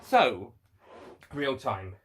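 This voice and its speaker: A man talking casually to viewers in an unscripted review, halting and rambling as he often rephrases himself. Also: medium pitch, sing-song, a little hiss or hum. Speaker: review show host.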